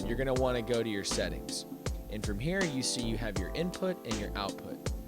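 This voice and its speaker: Male tutorial presenter, mid-range voice, explanatory step-by-step delivery, heavy music bed. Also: medium pitch. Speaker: video creator giving a tech tutorial. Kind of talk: instructional tutorial narration. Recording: music behind the voice. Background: background music.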